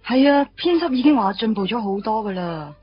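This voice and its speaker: A woman's voice: a little high, animated, fairly quick, highs cut off, faint music behind, lightly acted. An actress playing an outspoken, playful young woman in a Cantonese radio drama, chatty and chiding, with a Hong Kong accent.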